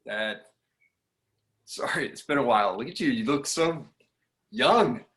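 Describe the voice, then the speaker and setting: A man plays a grown son who is loyal and eager to serve his father. His voice is a natural medium male pitch, reading a drama script. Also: animated, measured pace, a bit bright. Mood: moved. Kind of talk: scripted drama table read.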